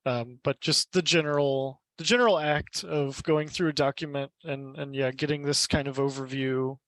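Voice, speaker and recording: A man's voice: medium pitch, fairly even intonation, normal speed, a bit bright, no room sound. A young man, a master's student, speaking modestly and tentatively in an academic discussion.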